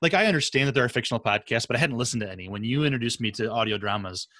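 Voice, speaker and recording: A man's voice: mid-range voice, expressive, racing, sharp tone, no echo, clean studio sound. A man hosting a podcast in a conversational, enthusiastic and emphatic way. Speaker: podcast host and interviewer.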